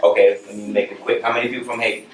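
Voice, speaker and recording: A man speaking to high school students in a classroom, coaxing answers out of them with brisk, streetwise questions. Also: flat delivery, a crowd in the background. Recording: roomy, distant, noisy classroom recording.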